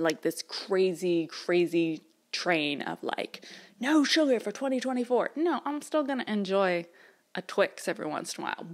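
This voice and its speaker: Woman in her thirties with a slightly low voice; casual, rambling vlog chat to camera. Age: 30s. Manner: chatty, rambling, with frequent fillers and small laughs. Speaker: vlogger. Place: her mother's house, indoors.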